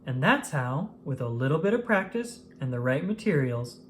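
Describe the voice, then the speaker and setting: A woman narrating a children's picture book aloud in an expressive manner, paced for young listeners. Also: very deep voice, normal speed, a little hiss or hum. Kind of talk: scripted storybook narration.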